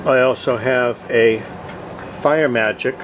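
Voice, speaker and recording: A man giving a casual video tour in short, halting, plainspoken phrases, recorded outdoors on a boat deck with a handheld camera. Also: mid-range voice. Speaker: host of a cooking vlog. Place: open deck of a boat on the water. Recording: outdoor ambient noise.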